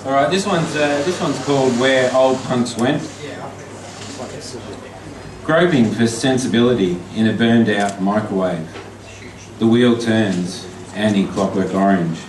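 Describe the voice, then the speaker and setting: An Australian man, a poet, reading his poems and flash fiction aloud at a live event, chatty and halting between pieces, slow and deliberate when reading. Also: medium pitch, a crowd in the background. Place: pub function room. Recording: echoey pub from far off with noise.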